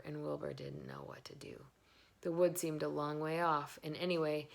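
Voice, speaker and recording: A woman narrates a children's book aloud. Her voice sits a little low and has a clear creak to it, with expressive storytelling delivery. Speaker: story reader.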